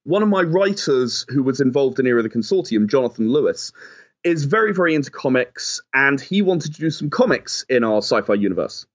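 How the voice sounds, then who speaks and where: A man giving conversational, explanatory interview answers, often softening his points with "sort of". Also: medium pitch, very animated, brisk, muffled recording. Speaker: game designer and writer, interview guest.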